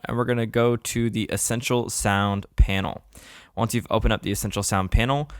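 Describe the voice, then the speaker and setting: A man narrating an instructional software tutorial in a casual, plain-spoken, step-by-step way. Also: mid-range voice, clean tone, no room sound, clean studio sound. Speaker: tutorial host. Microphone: close voice-over microphone.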